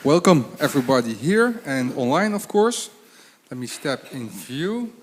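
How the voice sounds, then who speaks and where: A man, a consultant, presenting at a conference, speaking haltingly with many fillers and restarts. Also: medium pitch, very animated, a little hiss or hum. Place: conference hall with audience. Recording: long hall reverb.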